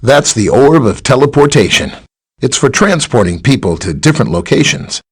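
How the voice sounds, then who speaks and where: A friendly science-nerd superhero for a video game, bit husky, pitch bouncing all over, polite and chatty, happy to explain stuff. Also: male voice, sing-song, normal speed. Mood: explanatory, earnest. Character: friendly, helpful male scientist superhero, earnest and eager. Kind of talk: scripted video game character dialogue.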